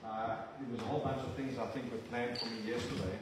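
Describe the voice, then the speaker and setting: A man's voice: medium pitch, steady tone. Older South African man preaching in an echoey church. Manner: earnest, halting, with frequent restarts. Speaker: visiting preacher. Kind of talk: sermon with prayer. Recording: very echoey church from a distant mic with room noise.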